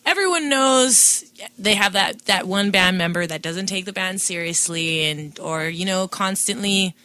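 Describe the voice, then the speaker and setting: A woman's voice: medium pitch, lively intonation, unhurried, sharp tone, no echo. A woman in her twenties co-hosting a podcast in an informal, slangy chat style. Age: 20s. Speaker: podcast co-host.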